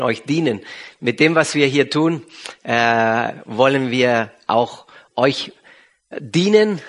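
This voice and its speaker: A middle-aged man with an Argentine accent and native Spanish, speaking haltingly to a congregation as a visiting pastor. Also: mid-range voice, a bit bright. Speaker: visiting pastor and regional church leader. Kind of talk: sermon introduction to a congregation.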